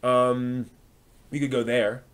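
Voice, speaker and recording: A man with a mid-range voice hosts a casual live stream. He talks through his opinions as he forms them, pausing and circling back. The delivery is informal and conversational, as if replying to a chat. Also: medium pitch, level tone, clear, resonant tone. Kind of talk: casual discussion answering chat comments.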